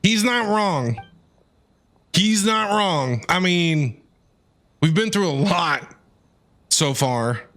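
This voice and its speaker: A man's voice: high voice, expressive, drawn-out words, pauses often, fairly bright tone. A man streaming a video game, reacting casually and playfully in short interjections as he plays.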